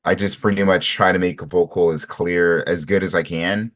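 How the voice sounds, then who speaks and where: A man with a very deep voice talks about his music setup on a video call. He rambles casually, stringing thoughts together with filler words. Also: fairly even intonation, normal speed, a bit bright. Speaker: student.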